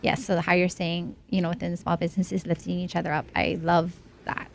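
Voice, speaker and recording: A woman's voice: lowish voice, flat delivery, fairly quick, creaky, a little hiss or hum. A woman from O'ahu, a small business owner talking as a guest in a casual interview, chatty and filling her talk with "like" and "you know". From O'ahu, Hawaii.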